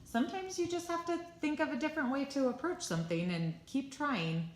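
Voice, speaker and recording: A woman teacher reading a picture book aloud to young children with animated, emphatic storytelling. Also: high voice, brisk, slight background noise. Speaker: preschool teacher reading stories. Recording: roomy, echoey sound.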